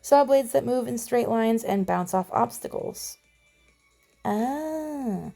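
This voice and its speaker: A woman's voice: fairly high pitch, animated, takes it slowly, creaky, mellow, soft background music. A woman giving casual game commentary, chatty and playful, reading on-screen text aloud. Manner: chatty, mild playful exclamations. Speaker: gamer voicing a playthrough.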